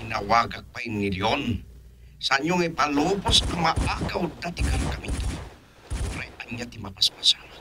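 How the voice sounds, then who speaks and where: A man's voice: medium pitch, animated, normal speed, noisy background, lightly acted. An actor with a Filipino accent playing an angry, demanding crime syndicate boss in a radio drama, scolding his men. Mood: stern, pressing.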